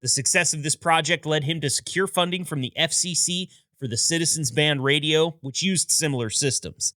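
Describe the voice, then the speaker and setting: Bright, dry-voiced male podcast host. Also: a little high, normal speed, dry recording. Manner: upbeat, storytelling delivery with wry asides. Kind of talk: scripted narration.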